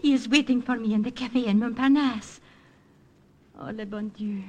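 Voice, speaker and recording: An actress playing an anxious, lovesick young French lady with a French accent and husky voice in a 1950s radio drama. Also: on the high side, normal speed, mellow, lightly acted. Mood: anxious joy.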